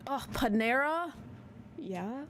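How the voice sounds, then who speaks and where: A woman hosting a tarot live stream from her basement, talking in a chatty, slangy way with a small, soft voice and some casual swearing. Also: high voice, lively intonation, takes it slowly, slight background noise. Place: home basement.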